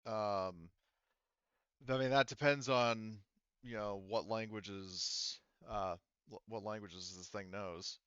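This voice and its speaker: Halting male game master, clear but slightly muffled. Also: fairly low pitch, steady tone, fairly bright tone, no room sound. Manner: halting, rambling explanations. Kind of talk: narrating a tabletop roleplaying game.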